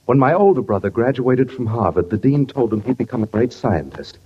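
An actor playing an educated, self-pitying sound-effects man with a deadpan, aggrieved manner in 1940s radio comedy. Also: medium pitch, a little fast, close to an everyday voice. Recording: muffled old radio recording, faint noise.

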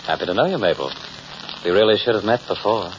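A man acting a suave, pompous gentleman in a vintage radio drama, with formal, flowery and courtly speech. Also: fairly low pitch, quick pace, close to an everyday voice. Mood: courtly, affable. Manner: formal, flowery, over-polite diction. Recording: muffled, hissy old radio recording.

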